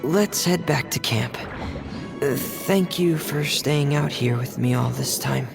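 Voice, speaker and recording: An earnest teenage boy from a video game, speaks with a noticeable creak. The manner is reserved, polite and a little hesitant. Music runs behind the voice. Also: mid-range voice, slow. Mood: gentle, helpful. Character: soft-spoken, shy, earnest teenage boy diver. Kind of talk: video game character acting.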